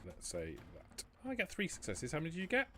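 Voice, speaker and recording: A man running a tabletop roleplaying game as game master, explaining rules and narrating in halting, self-correcting phrases. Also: fairly high pitch, wildly swooping pitch, a bit bright. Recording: noisy hobby livestream audio.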